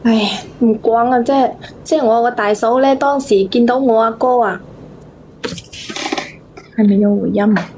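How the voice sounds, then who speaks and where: A high-pitched woman with a Cantonese accent calls in to tell a personal story. She talks in a halting, plaintive way, circling back over her phrases. There is clear background noise on the recording. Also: steady tone, darkish tone. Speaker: phone-in caller. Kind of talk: casual personal storytelling to a host.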